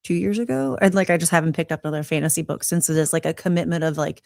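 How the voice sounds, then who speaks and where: A woman's voice: sits low, very fast, vocal fry, darkish tone, dry recording, clean studio sound. A woman co-hosting a podcast in casual chat, chatty and hesitant, with many "um" and "like". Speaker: podcast co-host.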